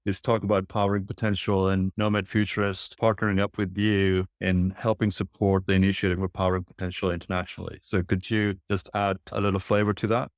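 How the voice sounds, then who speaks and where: A man's voice: deep voice, little pitch movement, a little fast, hardly any pauses, no room sound, clean studio sound. A man hosting a podcast, asking questions and plugging the show in a friendly way. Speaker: podcast host. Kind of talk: podcast interview.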